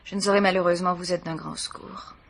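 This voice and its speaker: Low-voiced, dignified grieving mother. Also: level tone. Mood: sorrowful, restrained. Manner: formal, measured, sorrowful. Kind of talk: French radio mystery drama acting.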